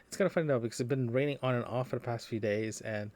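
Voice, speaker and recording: A man recording a casual, unscripted audio diary as a podcaster, talking in a rambling, halting way with trailing asides. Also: medium pitch, a little mellow, dry recording.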